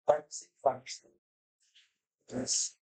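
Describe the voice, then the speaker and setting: A man talking quietly off to the side during a break, his words muttered and half-heard. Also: takes it slowly.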